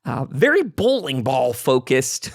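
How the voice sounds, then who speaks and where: Man, livestream host, lively varied intonation, informal, talkative, chatty delivery. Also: mid-range voice, measured pace, gravelly. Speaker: host of a gaming livestream round table.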